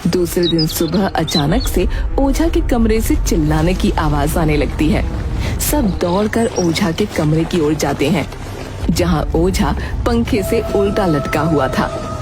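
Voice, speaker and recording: Low-pitched woman narrating a cartoon. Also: animated, slow. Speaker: story narrator. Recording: music behind the voice.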